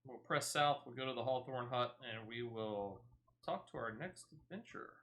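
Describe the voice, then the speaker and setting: A streamer talks through his gameplay in a relaxed, chatty way, narrating each step as he goes. He throws in corny jokes at his own expense and apologizes for them. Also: mid-range voice, normal speed. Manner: chatty, self-deprecating jokes. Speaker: gaming streamer. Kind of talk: casual gameplay commentary.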